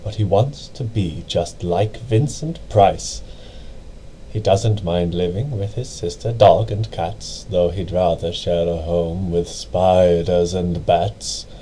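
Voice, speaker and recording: A man reading a poem as dramatic narration, with a theatrical, deliberate and brooding delivery. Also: low-pitched, mellow, a little hiss or hum, low-quality recording. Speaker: amateur reader.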